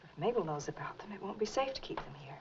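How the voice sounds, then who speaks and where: An American actress playing a wary, sharp-tongued mother in 1940s film noir, brisk and questioning. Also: mid-range voice, animated, close to an everyday voice. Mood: worried, brisk. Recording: muffled, hissy 1940s film soundtrack.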